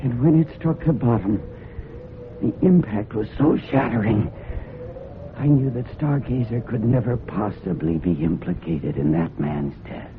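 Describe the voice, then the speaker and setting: A guilt-ridden, desperate woman in a radio mystery. Her delivery is deliberate and intense, with halting phrases. The sound is narrow and phone-like, with clear noise over it. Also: extremely low pitch, lively intonation, full character voice. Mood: grim, tense. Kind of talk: dramatic radio mystery acting. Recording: noisy, narrow-band old radio recording.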